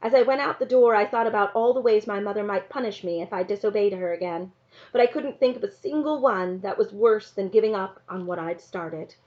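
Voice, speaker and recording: A woman reading a children's novel aloud in an expressive narrating style. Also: mid-range voice, brisk. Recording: slightly dull, lo-fi sound.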